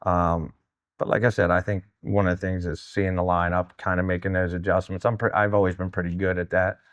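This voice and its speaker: A man's voice: low-pitched, flat delivery, a little fast, dry recording. A man in his fifties from New Jersey, a wrestling coach talking candidly and casually in an interview. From New Jersey, United States. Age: 50s. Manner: candid, old-school. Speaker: high school wrestling coach.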